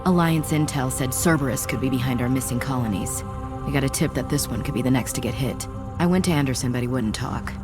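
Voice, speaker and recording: Female soldier for a dramatic game: low voice, proud, distrustful, music behind. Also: fast. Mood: shocked, suspicious. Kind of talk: dramatic video game dialogue.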